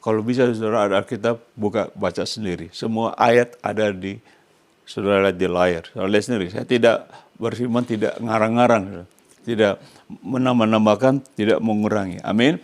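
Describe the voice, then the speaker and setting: A man's voice: lowish voice, a little fast. An older man from Indonesia with an Indonesian accent, a pastor preaching to a congregation in an emphatic way, often prompting them with questions. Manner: emphatic, asks the congregation questions to complete his phrases. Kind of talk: sermon to a congregation.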